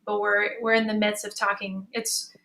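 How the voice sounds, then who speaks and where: A woman's voice: mid-range voice, little pitch movement, normal speed, a crowd in the background. A woman in a conversational panel discussion, speaking casually and reflectively as a moderator and fellow creator. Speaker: panel moderator, audio drama creator and musician.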